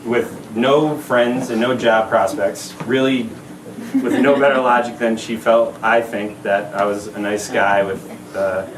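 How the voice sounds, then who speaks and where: A man in his thirties giving a casual speech to his new wife at a party, halting with many fillers and laughing asides. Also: medium pitch, fairly even intonation. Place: party venue with crowd. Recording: echoey room, mic at a distance, background noise.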